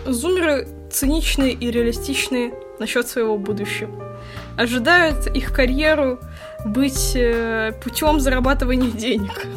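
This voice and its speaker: A woman's voice: sits high, unhurried, over music. A woman of twenty co-hosting a podcast, telling stories in a chatty way with slang. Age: 20s. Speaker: podcast co-host. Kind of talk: casual chat.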